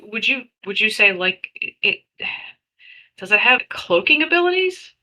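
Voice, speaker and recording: A woman's voice: mid-range voice, big pitch swings, unhurried, bright, forceful tone. A woman playing a tabletop game, asking questions in casual table talk on a slightly lo-fi recording. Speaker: player in a tabletop roleplaying game.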